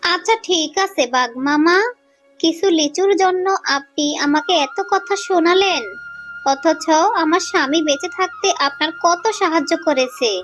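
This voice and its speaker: Woman as widowed fox mother, cartoon, high pitch, weary, gently chiding, earnest, light music behind. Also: normal speed. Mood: hurt. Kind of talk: Bengali cartoon voice acting.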